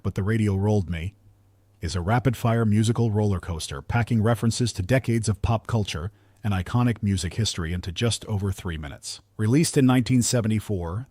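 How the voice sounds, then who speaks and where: Low-voiced man narrating, close and dry. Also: dark tone, no room sound. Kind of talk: scripted countdown narration.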